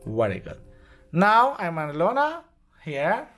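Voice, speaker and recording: A man who is not a native English speaker gives an unscripted step-by-step product tutorial in short, simple, halting phrases. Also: fairly high pitch, wildly swooping pitch, a bit bright, faint music behind. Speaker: product demonstrator. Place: indoors at a demo bench with devices.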